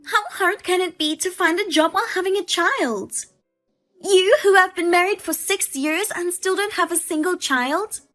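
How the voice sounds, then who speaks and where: A woman voicing a demanding, scornful sister-in-law who accuses and mocks loudly. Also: extremely high pitch, moderate pace, faint music behind, pitched above the performer's own voice. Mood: indignant. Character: shrill, demanding female sister-in-law, a mother.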